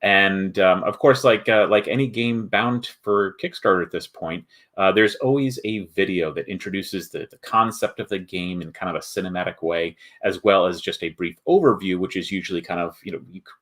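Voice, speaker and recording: A man hosting a casual livestream, chatting with viewers in a halting way as he thinks aloud. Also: deep voice, fairly bright tone. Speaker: host of a board game company livestream.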